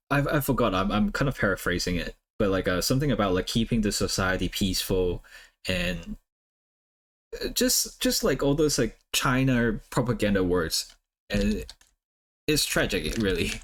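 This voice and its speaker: Easygoing man, lowish voice. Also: big pitch swings, moderate pace. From Hong Kong. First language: Cantonese. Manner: rambling, lots of "like" and "uh" fillers. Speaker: streamer. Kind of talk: casual chat while drawing on stream.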